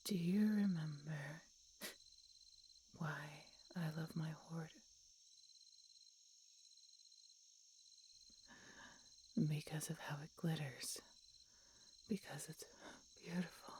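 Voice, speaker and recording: A woman voicing a tender, regretful, dying she-dragon in an audio roleplay, breathy and whispery, speaking haltingly with weak, labored breath. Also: sits low, dark tone, a little hiss or hum, low-quality recording. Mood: wistful, adoring. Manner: slow, halting, labored speech with pauses and gasps. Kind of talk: audio roleplay, intimate dramatic monologue.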